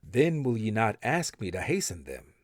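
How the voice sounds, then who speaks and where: A man's voice: on the low side, huge pitch swings, normal speed, no echo, studio quality. A man reading translated scripture aloud with a solemn, emphatic and dramatic delivery. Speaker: reader of scripture. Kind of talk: expressive scripture recitation in English translation.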